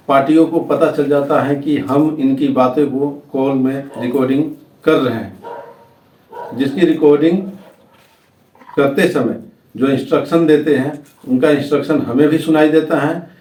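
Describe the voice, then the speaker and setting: Higher-pitched Indian man, echoey and distant. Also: darkish tone, slight background noise. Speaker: tech tutorial host. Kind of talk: step-by-step spoken tutorial. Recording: echoey room, distant microphone.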